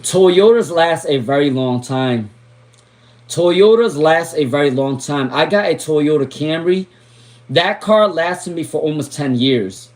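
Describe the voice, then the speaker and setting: Live-stream tarot reader, man, voice sits a bit high, raspy from talking all day, chatty and digressive. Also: lively intonation, measured pace, a little mellow. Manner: chatty, drifts into asides and sung snatches.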